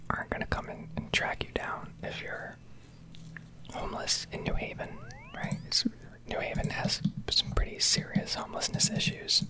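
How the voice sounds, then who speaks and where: A woman in her early twenties from Connecticut with an American accent, rambling casually in a whispered ASMR style. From Connecticut, United States. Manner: casual, rambling, occasional swearing. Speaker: ASMR gaming video creator.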